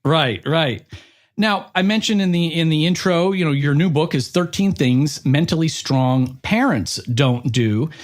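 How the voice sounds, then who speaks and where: A middle-aged man with a fairly high voice hosts an interview. He talks casually and informally, chatting about himself and peppering his sentences with filler phrases. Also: measured pace. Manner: chatty, self-referential, informal with frequent 'like' and 'you know'. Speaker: podcast interviewer, host.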